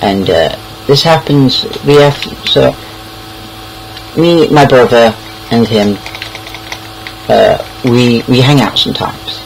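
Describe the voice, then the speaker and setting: A young man with a British accent tells personal stories in a casual, rambling way. His voice sits at a middling pitch, and he often stalls and repeats words. Noticeable background noise runs through the homemade-sounding recording. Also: slow, vocal fry, long pauses, rough recording. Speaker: online storyteller. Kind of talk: casual anecdotal storytelling.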